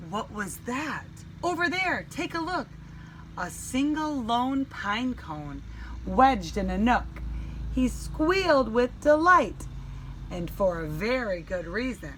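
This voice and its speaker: A woman reads a children's storybook with theatrical emphasis. Her voice is fairly high and she takes her time, shaping each phrase dramatically. Faint noise sits behind her. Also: rough recording. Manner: animated, dramatic, deliberate emphasis. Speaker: teacher reading a picture book. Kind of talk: expressive children's storybook read-aloud.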